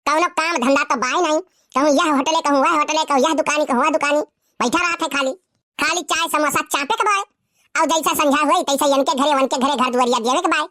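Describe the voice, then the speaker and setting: A performer voicing a squeaky, quarrelsome village character in an Awadhi cartoon comedy, scolding and exclaiming with an Awadhi accent. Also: a little fast, sharp tone, dry recording, studio quality. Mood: grumbling.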